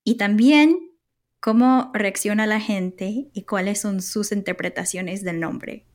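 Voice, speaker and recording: A woman hosting a podcast, calmly asking questions and explaining background. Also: mid-range voice, slow. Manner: calm, explanatory, asks curious questions. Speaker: podcast host. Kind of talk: scripted narration.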